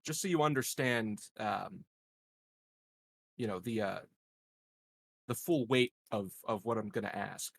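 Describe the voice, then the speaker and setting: Deadpan, scheming male candidate played by a man. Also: fairly low pitch, measured pace, gravelly, pauses often, dry recording. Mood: apologetic. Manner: hesitant, deadpan. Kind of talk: improvised tabletop roleplay.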